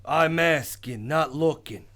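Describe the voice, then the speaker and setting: A man playing a menacing tough gunman in a hardboiled radio drama, with curt gangster slang and a gravelly voice. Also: a little high, slow, sharp tone.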